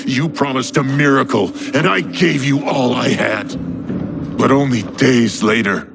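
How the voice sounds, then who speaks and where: A man's voice: medium pitch, unhurried, vocal fry. An actor playing a bitter, grieving peasant father who makes emotional accusations in a video game cutscene. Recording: very loud music behind the voice.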